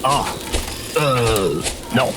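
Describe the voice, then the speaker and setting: A man's voice: medium pitch, lively intonation, extremely slow, background noise. A man playing a smug, preachy would-be sage in an audio comedy, sententious and pompous. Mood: evasive, pompous. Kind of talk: audio fiction comedy acting.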